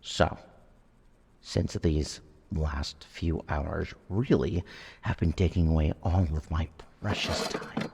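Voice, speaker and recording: Very deep gravelly, menacing veteran. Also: male voice, darkish tone, a little hiss or hum, exaggerated voice. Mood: coldly controlled. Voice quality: gravelly, raspy. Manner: slow, halting, brooding, theatrical delivery. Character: menacing, traumatized male war veteran turned killer suspect. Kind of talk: dramatic audio drama acting. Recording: long cavernous reverb.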